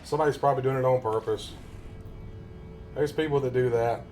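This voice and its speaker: A man's voice: medium pitch, fairly even intonation, a little fast, darkish tone, soft background music. Joking Southern man chatting on stream. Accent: Southern US (Tennessee). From Tennessee, US. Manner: joking, rambling, chuckles often. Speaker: live streamer. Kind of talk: casual live-stream chat to viewers.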